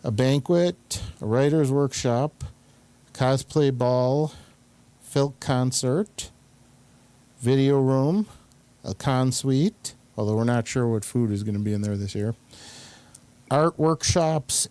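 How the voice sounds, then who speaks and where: A man hosting a casual group chat, halting and often searching for his words. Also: medium pitch, dark tone, no echo. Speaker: host.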